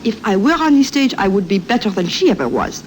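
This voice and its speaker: An actress playing a chatty young maid in an old radio drama. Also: female voice, mid-range voice, very animated, fairly quick, natural voice. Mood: gossiping. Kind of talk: 1950s radio drama acting. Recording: hissy old radio recording.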